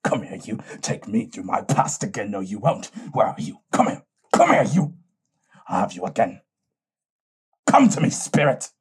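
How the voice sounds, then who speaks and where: An actor playing an excitable, haunted old miser in an audio drama, theatrical and halting, with a husky voice. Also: male voice, on the low side, exaggerated voice. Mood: agitated bravado. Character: miserly old man, excitable, haunted, humbled before spirits.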